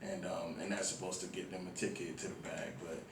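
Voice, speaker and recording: Low-voiced man from Miami, echoey room. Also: level tone. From Miami, Florida, United States. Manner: informal slangy, halting with many ums. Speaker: interview guest, music platform founder. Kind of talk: casual interview talk. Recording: echoey room, distant mic, faint noise.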